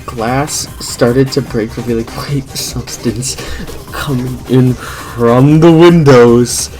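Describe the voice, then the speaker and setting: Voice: medium pitch, fairly even intonation, slow, darkish tone. A person giving a dramatic reading of fan fiction, stumbling and prone to breaking into laughter. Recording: music under the reading. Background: music.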